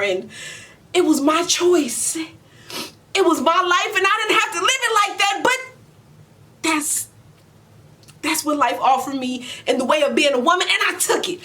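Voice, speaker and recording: A woman in her forties playing a weary, proud, loving mother in an emotional stage monologue, speaking deliberately with heavy pauses. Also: sharp tone, exaggerated voice. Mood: defiant. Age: 40s. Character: weary, proud middle-aged mother and widow, wounded but loving. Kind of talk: emotional stage monologue, self-taped audition. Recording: distant mic, noticeable low rumbling noise.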